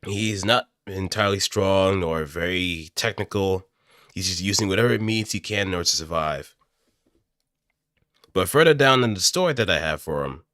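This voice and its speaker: A man's voice: deep voice, pushed and bright, smooth, no room sound. A man talking casually and thinking aloud as he explains his ideas like an amateur voice actor, on a close microphone. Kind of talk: casual improvised explanatory commentary. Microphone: close studio microphone through an audio interface.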